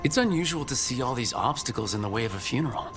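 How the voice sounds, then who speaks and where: Male game hero, voiced by an actor: steady, encouraging team leader, reassuring delivery, music clearly underneath. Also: medium pitch, fast talker, natural voice. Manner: calm, reassuring. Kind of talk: video game dialogue acting.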